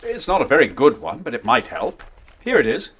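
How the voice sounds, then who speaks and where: A middle-aged dad with a slightly husky voice and lively intonation. He is good-humoured and encouraging, talking to his children in a dramatised story. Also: fairly high pitch, brisk, heavily acted. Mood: helpful, teasing. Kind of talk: audiobook drama acting, reading a newspaper aloud.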